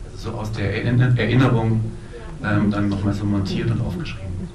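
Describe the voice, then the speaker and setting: A man's voice: fairly low pitch, fairly even intonation, a little fast, far from the mic, crowd noise. A man moderating a live film talk, phrasing his questions piece by piece as he thinks aloud. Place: echoey event room. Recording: echoey room from afar, noisy.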